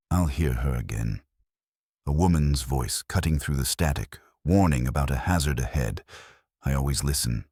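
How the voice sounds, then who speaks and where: A man's voice: very deep voice, no room sound, clean studio sound. A man narrating scripted first-person trucker horror tales in a dramatic, suspenseful way.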